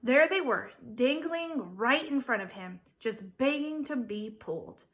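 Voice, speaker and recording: A woman, a teacher, reading a children's story aloud with dramatic emphasis and theatrical pauses. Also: a little high, expressive, a bit bright. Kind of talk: expressive children's storybook narration.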